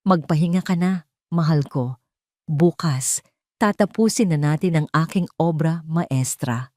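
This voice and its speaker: A woman voicing a calm, deranged male kidnapper in a storybook, sweetly menacing and deliberate, speaking with obsessive conviction. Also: low-pitched, dry recording, studio quality. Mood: sweetly sinister. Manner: slow, deliberate, full of conviction.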